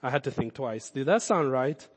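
A man with a Kenyan accent, a pastor giving a sermon, swinging from loud, emphatic calls to low, earnest asides. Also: animated, moderate pace, breathy edge, long reverb tail. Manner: impassioned preaching, rising loud then dropping low and intimate.

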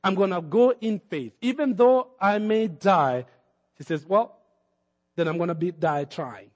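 A man's voice: high voice, lively intonation, unhurried. A man from Kenya preaching a sermon, building to emphatic peaks and acting out the words of the people in his story. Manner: preaching with rising emphatic peaks and acted-out quotes. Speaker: pastor. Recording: long hall reverb.

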